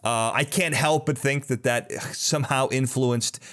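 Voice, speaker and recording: A male interviewer with an average-pitched voice hosts a casual conversation. His delivery is halting and rambling, and he often breaks into his own sentences to rephrase them. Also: fairly bright tone. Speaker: podcast host interviewer.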